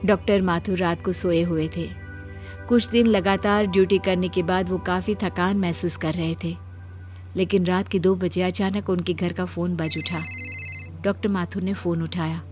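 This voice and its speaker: A woman's voice: on the low side, fairly even intonation, moderate pace, music in the background. A woman reading scripted narration as a storyteller.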